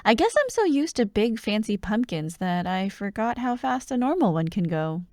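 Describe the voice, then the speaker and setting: A woman playing an excitable, teasing young childhood friend who loves Halloween, in an ASMR roleplay addressed to the listener. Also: medium pitch, moderate pace, no echo, clean studio sound, lightly acted. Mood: bubbly. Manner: playful, teasing, animated, with frequent giggles and mock drama.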